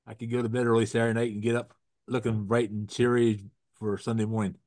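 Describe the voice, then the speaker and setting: A man's voice: mid-range voice, level tone, fast talker, a little mellow, no room sound. Froggy man hosting a video call. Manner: rambling, restarts, corny puns. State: getting over a cold, froggy. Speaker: host. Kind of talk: casual chat with jokes.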